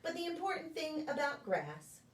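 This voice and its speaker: A woman reading a children's picture book aloud in a deliberate, sing-song storytelling way for preschoolers. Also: sits high, very animated, a little hiss or hum. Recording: echoey room, distant microphone.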